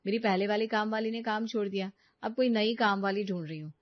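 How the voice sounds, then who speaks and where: A woman's voice: mid-range voice, fairly even intonation, a little fast, no room sound, dull audio, lightly acted. Woman plays young working daughter-in-law, cartoon story; polite yet exasperated, chatty, dry close sound with dulled top. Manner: complaining, chatty.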